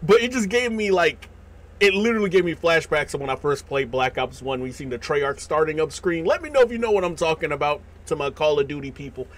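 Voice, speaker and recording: A young American man doing casual reaction commentary in a slangy, animated manner. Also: a little high, a little fast. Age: young adult. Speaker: reaction video host. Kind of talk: casual reaction commentary, reading captions aloud. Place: small indoor room. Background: music.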